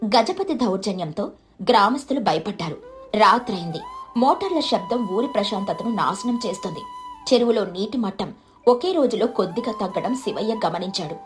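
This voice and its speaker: A woman's voice: mid-range voice, expressive, normal speed, music in the background. A woman narrating a scripted story.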